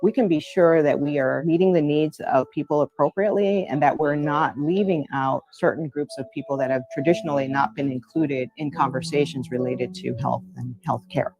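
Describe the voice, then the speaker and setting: A man's voice: on the high side, steady tone, moderate pace, hardly any pauses, darkish tone, muffled recording. A man from a research program explaining community outreach earnestly in a documentary clip.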